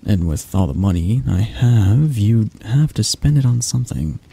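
Man acting a husky, breathy possessive mafia boss. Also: lowish voice, animated, normal speed, mellow, exaggerated voice. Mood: possessive, doting. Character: possessive young male mafia boss, doting yet controlling. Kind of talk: ASMR boyfriend roleplay.

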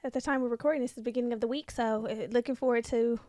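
A young woman co-hosting a podcast, chatting in an easygoing, relaxed way and trailing off with fillers. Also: fairly high pitch, rapid-fire, no echo, clean studio sound. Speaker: podcast co-host. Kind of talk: casual chat. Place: small studio set with a sofa. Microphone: studio mic on boom arm.

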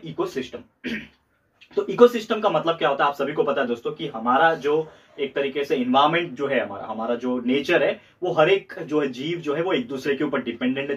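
A young Indian man teaching a whiteboard lesson, slightly hoarse, mixing English words in and checking in with "theek hai". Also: a little high, fairly bright tone. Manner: fast, repetitive teacher talk with frequent "theek hai" checks. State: slightly hoarse throat, clearing it. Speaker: tutor. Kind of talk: whiteboard lecture in Hindi-English mix. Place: room in front of a whiteboard. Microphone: camera at a distance. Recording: distant, dull lo-fi camera audio.

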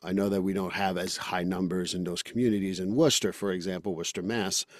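Male podcast host, French-Canadian from Quebec, lower voice, dry close sound, blunt and opinionated. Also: fairly even intonation, fast talker, no echo. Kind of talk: casual podcast conversation.

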